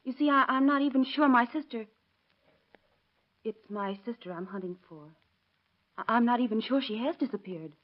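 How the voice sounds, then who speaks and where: On a dull vintage radio drama recording, an actress plays a nervous, fairly high-voiced woman. She is halting and apologetic, politely hesitant in the 1930s acting manner. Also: natural voice. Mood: anxious, hesitant. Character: anxious, hesitant woman seeking her missing sister.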